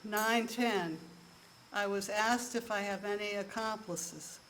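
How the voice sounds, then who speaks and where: Woman, soft voice, deadpan stand-up monologue, unhurried timestamped beats, mid-range pitch. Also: slight background noise, poor audio. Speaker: monologue performer. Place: live show in a room.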